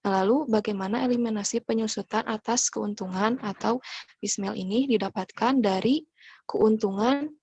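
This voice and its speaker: Young female student presenter, mid-pitched, a little husky, explanatory delivery. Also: moderate pace, darkish tone, dry recording, lo-fi sound. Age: young adult.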